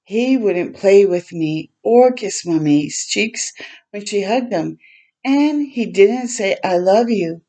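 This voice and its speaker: A woman hosting a storytime for children, reading a picture book aloud in a gentle way and pausing to chat and explain simply. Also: mid-range voice, lively intonation. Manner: gentle, simple explaining to young listeners, with pauses to comment.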